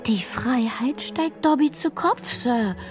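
A woman's voice: high-pitched, lively intonation, slow, music in the background, voice pushed up. A woman voicing a timid, anxious female house-elf servant in an audiobook, with a tiny, trembling, piping voice and a submissive, earnest manner. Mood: sad, worried. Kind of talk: audiobook character voice.

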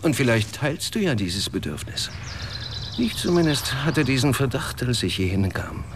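An actor playing a proud, cynical, paralysed inventor in a German radio drama, with cutting sardonic delivery in standard German. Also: male voice, medium pitch, big pitch swings, normal speed, background noise, close to an everyday voice. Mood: dry, mocking.

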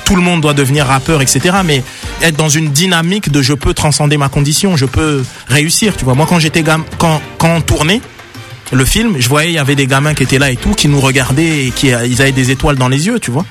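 A man's voice: a little high, quick pace, a bit bright, faint music behind. A man guest in a radio studio, talking earnestly and reflectively, often tagging sentences with "you see". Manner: reflective, earnest, leans on "tu vois". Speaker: guest artist promoting a film. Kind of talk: radio interview talk.

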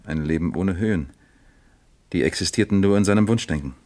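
A low-pitched man acting as a police captain in a German radio crime play. He is deliberate and musing, putting his questions with dry, wry wit and probing patiently as an East German investigator. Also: darkish tone, natural voice. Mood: thoughtful, probing. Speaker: actor in a radio crime drama.

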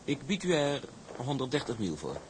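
A man's voice: medium pitch, very animated, normal speed, noisy background, natural voice. A man playing a smug, genteel villain, a rich shipowner's son, with unctuous mock courtesy in an audio drama. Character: smug, silkily polite villainous shipping magnate's son. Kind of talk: Dutch audio drama acting.

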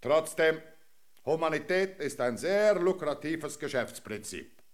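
An actor playing a smug, profit-minded colonial captain in a German radio drama, pompous and declamatory. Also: male voice, a little high, animated, measured pace. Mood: smugly moralizing. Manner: self-satisfied, declamatory, smoothly pompous.

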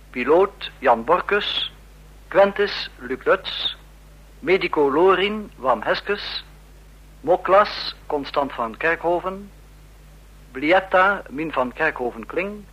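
A man announcing a radio drama's closing credits in a measured, formal manner. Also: fairly high pitch, bright, forceful tone, husky. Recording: dull, lo-fi old radio recording.

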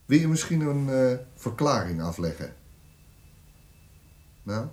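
An actor playing a condescending South African police interrogator in a radio drama, prodding with short, sardonic questions. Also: male voice, medium pitch, very animated, slow pace, a little mellow, breathy edge, heavily acted. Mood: condescending, probing.

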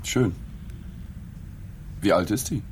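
A man's voice: lowish voice, slow, a little hiss or hum. An actor playing a reserved male journalist in a radio play, narrating and asking questions in a sober, understated way. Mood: tentative, inquiring. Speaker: narrator and reporter figure.

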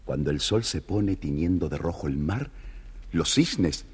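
A man narrating a children's fairy tale from a script in a theatrical, raspy voice. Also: low-pitched, lively intonation, a little slow, darkish tone. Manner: theatrical storytelling.

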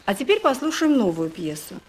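A woman's voice: fairly high pitch, very animated, brisk, husky. A woman leading a music lesson for young children, asking them questions and reciting verse expressively.

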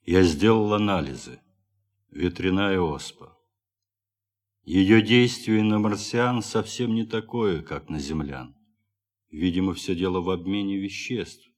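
A man acting a sombre ship's doctor and explorer in an audio drama, speaking with weighty, measured pauses. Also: low-pitched. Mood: grim, certain. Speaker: actor in audio play. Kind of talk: theatrical radio drama acting.

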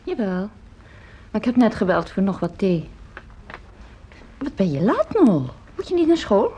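An actress in a Dutch radio play playing a composed, gently sceptical middle-aged mother, speaking softly and knowingly. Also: medium pitch, sing-song, a little slow, slight background noise. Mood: mildly probing.

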